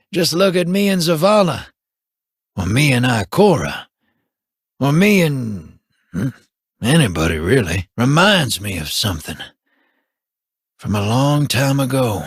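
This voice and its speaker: An actor playing a wry, easygoing young human scout who talks in a casual, joking, self-mocking way, with a husky voice. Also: male voice, medium pitch, very animated, measured pace, long pauses, no room sound, clean studio sound.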